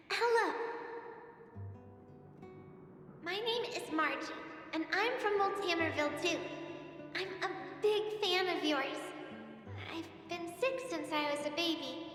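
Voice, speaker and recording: A woman's voice: very high-pitched, unhurried, a bit bright. A sickly, dreamy little girl. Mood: wistful. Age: child figure. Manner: earnest, wistful, breathy sighs and laughs. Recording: echoey reverb with music behind.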